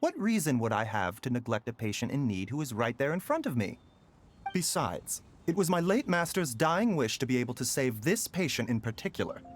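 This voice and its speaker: A man playing a courteous, enigmatic young doctor in a video game, measured, formal and persuasive. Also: mid-range voice, big pitch swings, a little hiss or hum, lightly acted. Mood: calm.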